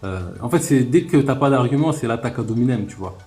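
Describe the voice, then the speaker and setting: A man's voice: medium pitch, expressive, fast, darkish tone, soft background music. A man hosting a live online talk, chatting casually, laughing often and restarting his sentences. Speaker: host of live debate stream.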